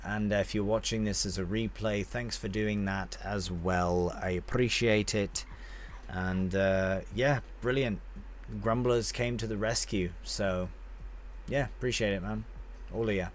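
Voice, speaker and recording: A man's voice: lowish voice, steady tone, a little slow, slight background noise. A man streaming a game live, chatting casually and rambling as he answers viewers with friendly banter.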